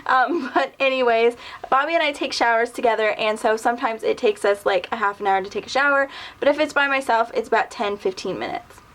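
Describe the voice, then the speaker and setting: A woman in her mid-twenties talks casually to her camera. Her voice sits a little high, and she rambles easily with plenty of fillers. It sounds like a relaxed home video. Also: a little fast. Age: 20s. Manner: rambling, chatty, lots of ums and little laughs. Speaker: vlogger. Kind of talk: casual tag video answering questions.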